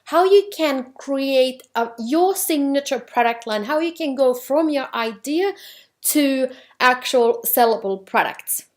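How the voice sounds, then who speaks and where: A woman with a Finnish accent talks through a business workshop in a clear, steady way. Her voice sits a little higher than most women's. She sounds like a presenter in her thirties. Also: unhurried. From Finland.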